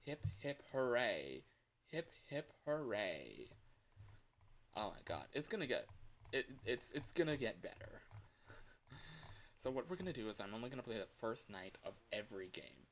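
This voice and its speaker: A man chatting casually to livestream viewers in an excitable, scattered, playful way, with frequent exclamations. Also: medium pitch, normal speed, long pauses, a little hiss or hum. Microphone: external microphone.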